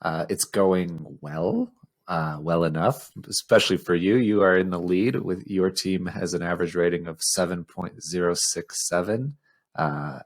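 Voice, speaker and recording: Man, low voice, podcast co-host, casual chat, self-mocking, informal and sweary. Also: unhurried.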